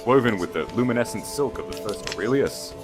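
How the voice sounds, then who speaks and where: A man's voice: on the low side, lively intonation, fairly quick, voice pushed up, lightly acted. A man voicing a proud, defiant young princess who speaks with regal bitterness in a period-romance audiobook. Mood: solemn, wounded. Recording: voice over loud music.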